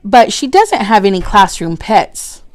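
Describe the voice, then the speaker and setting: A woman's voice: mid-range voice, big pitch swings, measured pace. A woman voicing an eager young schoolboy who pleads earnestly with his teacher in a storybook reading. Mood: protesting.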